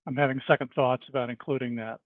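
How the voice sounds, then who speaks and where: A man's voice: mid-range voice, steady tone, quick pace, no room sound. A man speaking hesitantly at a committee meeting, often restarting his sentences, heard over a video call. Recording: dry tinny phone-like line.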